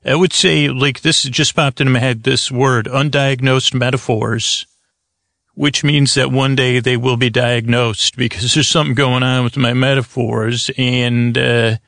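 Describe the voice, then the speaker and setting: Male bedtime podcast host, creaky voice, rambling improvised delivery, full of detours, very dry studio sound. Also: mid-range voice, level tone, a bit bright, dry recording. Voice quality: gravelly, creaky. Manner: meandering, halting, digressive, self-interrupting.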